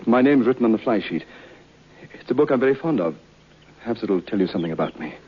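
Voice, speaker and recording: A man playing an earnest, polite gentleman narrator in an old-time radio drama. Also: on the low side, expressive, quick pace, lightly acted. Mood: earnest, sympathetic. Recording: muffled, faintly noisy old radio recording.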